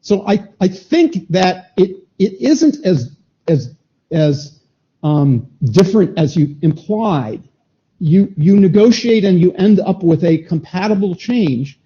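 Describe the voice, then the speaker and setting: Halting man at a meeting mic. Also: high-pitched, very animated, dark tone, breathy edge. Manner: halting, repeats words as he restarts. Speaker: meeting participant. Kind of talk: technical discussion at a standards meeting. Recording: dull lo-fi conference room audio.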